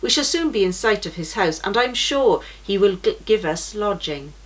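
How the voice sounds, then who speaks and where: A woman's voice: mid-range voice, lively intonation, normal speed, lightly acted, rough recording. A woman voicing a very old, kindly male pilgrim in a read-aloud storybook, speaking earnestly and reassuringly.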